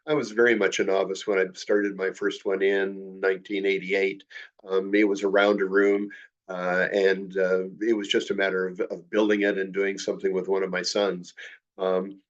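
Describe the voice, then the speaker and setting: A man's voice: low-pitched, level tone, lo-fi sound. An older man with a head cold, sounding a little nasal, giving a slideshow talk on model railroading with many uh and um fillers. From Canada. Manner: measured, frequent uh and um fillers. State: congested from a cold, slightly nasal. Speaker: model railroad clinic presenter.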